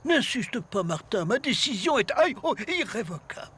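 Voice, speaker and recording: An actor playing a grumbly but kindly old magician priest in a cartoon, theatrical and grandly formal. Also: male voice, very high voice, sing-song, moderate pace, fairly bright tone, breathy edge, heavily acted. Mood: pained, grumbling. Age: older. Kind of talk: French cartoon voice acting. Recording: music behind the voice. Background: music.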